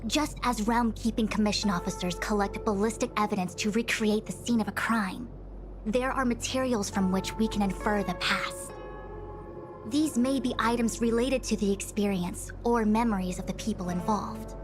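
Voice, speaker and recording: A composed young female diviner from a video game, played by an actress. She speaks with formal, scholarly precision and measured phrasing, while music plays clearly behind her. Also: mid-range voice, lightly acted. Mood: composed, instructive. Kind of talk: scripted video game dialogue. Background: background music.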